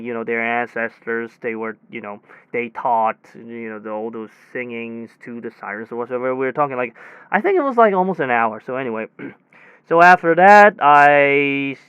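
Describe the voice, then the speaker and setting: A man playing a technician in an audio drama, recounting his workday in a halting, rambling, repetitive way, often interrupting himself. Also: mid-range voice, animated, clean tone, no echo, phone-quality sound, lightly acted. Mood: rambling recollection. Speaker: air conditioner installation technician. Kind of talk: conversational audio drama monologue.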